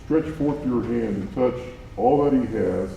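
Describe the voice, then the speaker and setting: A man playing solemn, commanding supernatural figures in a church Bible drama, speaking in a deliberate, declamatory way. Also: mid-range voice, gravelly, phone-quality sound, a little hiss or hum. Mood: challenging. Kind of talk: church class Bible drama, recited scripture. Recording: very echoey, distant, faint music behind.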